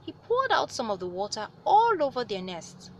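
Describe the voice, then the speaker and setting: A woman reading a story aloud as host, with animated delivery and dramatic, drawn-out emphasis on words. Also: on the high side, slight background noise. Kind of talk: expressive read-aloud storytelling.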